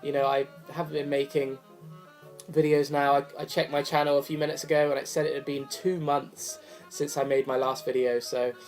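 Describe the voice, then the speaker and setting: A young man talks in a relaxed, wandering way, full of ums and restarts. His voice is slightly rough from a cold. Quiet jazz plays in the background. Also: medium pitch, moderate pace. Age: young adult. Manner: rambling, apologetic, many fillers. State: hoarse from a cold. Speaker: vinyl collector vlogger. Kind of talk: casual chat to camera. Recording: faint music behind the voice. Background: jazz record playing softly.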